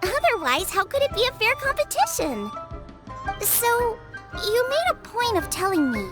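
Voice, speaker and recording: A cheerful young girl cook from a video game, pitched very high and swinging widely as she talks. She is earnest and reassuring, and music plays behind her. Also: big pitch swings, measured pace, heavily acted. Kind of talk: animated game voice acting.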